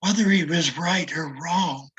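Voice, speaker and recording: A retired older man with a raspy, damaged voice, speaking slowly and reflectively as an interview guest, with a self-deprecating manner. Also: on the high side, fairly bright tone, highs cut off. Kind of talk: casual conversation.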